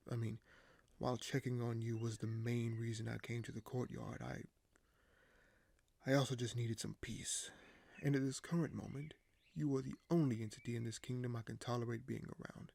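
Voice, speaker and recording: A man playing a weary, paranoid, dryly candid king in an audio roleplay, speaking in measured, formal phrases with hesitant pauses. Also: mid-range voice, steady tone, gravelly, darkish tone, no room sound. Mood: weary, confiding. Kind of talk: audio roleplay monologue to a silent listener.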